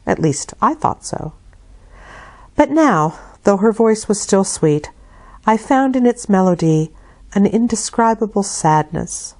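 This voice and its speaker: A woman narrating literary fiction as an audiobook, with measured, expressive delivery and deliberate phrasing. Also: mid-range voice. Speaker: audiobook reader.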